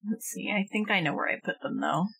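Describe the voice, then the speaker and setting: Wry young woman streamer, mid-pitched. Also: brisk, creaky, fairly bright tone, breathy edge. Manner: wry, informal, sweary. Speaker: game streamer. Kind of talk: casual gameplay chat. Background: faint game music.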